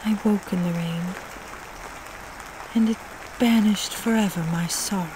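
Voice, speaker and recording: A woman reading poetry aloud in an expressive, theatrical recitation. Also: medium pitch, measured pace, crowd noise, noisy background. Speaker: poetry reader.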